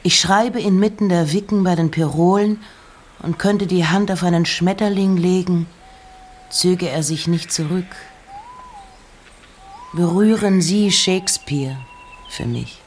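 An actress playing an introspective nineteenth-century woman poet in a radio play, reciting in a breathy, hushed, deliberate way with pauses between short phrases. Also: lowish voice, a little hiss or hum, lightly acted. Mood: musing. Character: Emily Dickinson, introspective 19th-century American woman poet. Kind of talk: radio play, poetic recitation of poems and letters.

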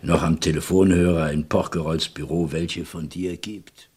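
An actor playing a probing, sceptical police commissioner in a radio play, questioning curtly and sardonically. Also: male voice, sits low, fairly even intonation, moderate pace, a little mellow, a little hiss or hum, lightly acted. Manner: curt, sardonic, insistent questioning.

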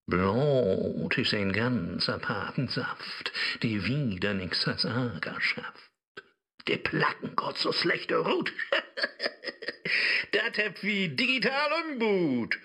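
An actor playing a sly, mocking digital Mephisto in a rhyming radio play, theatrical and taunting, with a Low German accent. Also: male voice, very animated, very slow, pushed and bright, husky, heavily acted. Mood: gleeful, scheming. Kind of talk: satirical radio play in verse.

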